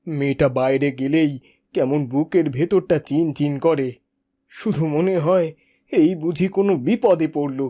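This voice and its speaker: A man's voice: on the high side, normal speed, mellow, natural voice. A man playing a worried, tender village father in a fairy-tale cartoon, talking in a homely, fretful way. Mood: anxious.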